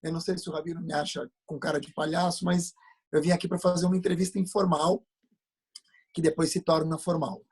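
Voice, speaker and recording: A man with a husky voice, hosting an informal comic interview on a video call in a teasing, interrupting manner. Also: fairly high pitch, little pitch movement, a little fast.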